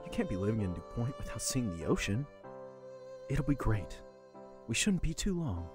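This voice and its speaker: Man acting a lively, gallant young sweetheart. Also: mid-range voice, normal speed, lightly acted. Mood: eager, fond. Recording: violin music under the voice.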